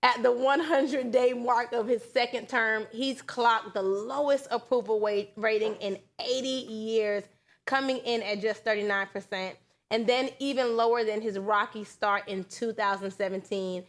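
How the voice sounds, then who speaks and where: A woman's voice: fairly high pitch, fairly even intonation, moderate pace. A woman hosting a talk show, giving conversational news commentary in a sassy, emphatic, colloquial way.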